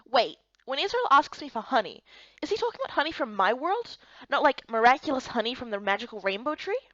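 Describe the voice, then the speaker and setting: A woman's voice: high voice, sing-song, brisk, fairly bright tone, no echo, muffled recording. A woman voicing a puzzled, polite young newcomer in a visual novel game. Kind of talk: voiced playthrough of fantasy visual novel.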